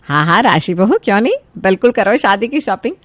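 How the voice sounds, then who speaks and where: A woman voicing a caring but fussy middle-aged mother-in-law in an animated story, chatty, fretful and motherly. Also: medium pitch, a little fast, no echo. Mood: indulgent. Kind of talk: Hindi animated story voice acting.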